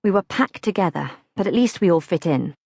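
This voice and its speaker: A woman's voice: fairly low pitch, a little fast, gravelly, slightly rough, dry recording, clean studio sound. A woman narrating a childhood diary as an audiobook, reading expressively from a script. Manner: expressive, acts out questions.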